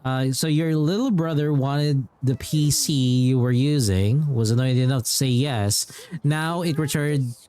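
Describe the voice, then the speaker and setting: A man's voice: medium pitch, measured pace, mellow. A man livestreaming a game, chatting casually and rambling as he repeats himself.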